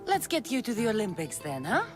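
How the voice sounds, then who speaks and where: Wry, lively-voiced female mercenary. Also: on the high side, brisk, over music. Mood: teasing, firm. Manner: dry, sardonic. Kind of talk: video game character acting.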